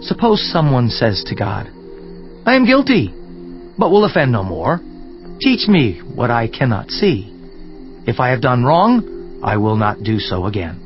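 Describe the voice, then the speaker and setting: A man's voice: mid-range voice, big pitch swings, measured pace, long pauses. An actor playing an earnest, indignant biblical man, declaiming in an oratorical dramatized scripture reading with rhetorical questions. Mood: pleading quote. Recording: dull lo-fi audio with music. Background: background music.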